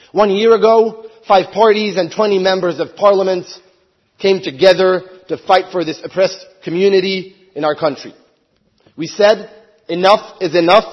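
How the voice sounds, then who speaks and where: A man's voice: high-pitched, breathy edge, dull audio. A man from Hungary, a member of parliament, giving an earnest prepared speech at a conference and rising to emphatic appeals.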